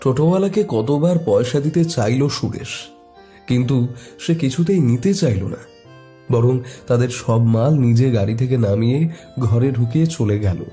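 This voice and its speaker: A man's voice: mid-range voice, animated, moderate pace, warm tone, soft background music. A man narrating a scripted horror story in a dramatic storytelling style.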